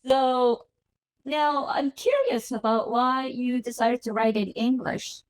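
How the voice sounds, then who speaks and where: A woman's voice: a little high, expressive, takes it slowly, dry recording, rough recording. A woman whose first language is Korean, hosting an interview, chatty and agreeable with many small affirmations. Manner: chatty, agreeable, lots of backchannel. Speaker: podcast host.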